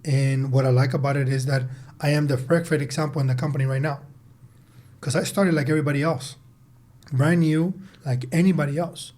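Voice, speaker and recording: Man, thirties, interview guest, slightly high pitch, warm dark tone, emphatic repetition, conversational. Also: steady tone, fairly quick. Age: 30s. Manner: emphatic, repeats words for stress. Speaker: podcast guest, sales team leader and entrepreneur.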